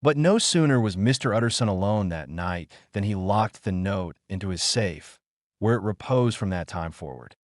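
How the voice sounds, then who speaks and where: Low-voiced man, animated dramatic narration. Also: normal speed, no room sound, studio quality. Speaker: audiobook narrator. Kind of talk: scripted dramatic noir audiobook narration.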